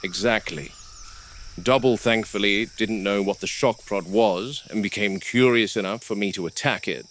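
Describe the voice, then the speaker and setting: A man playing a weathered game warden who speaks tersely, quiet and subdued when upset. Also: fairly low pitch, moderate pace, close to an everyday voice. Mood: calm. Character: weathered male park game warden, grim, practical. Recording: noisy, with crickets and insects behind.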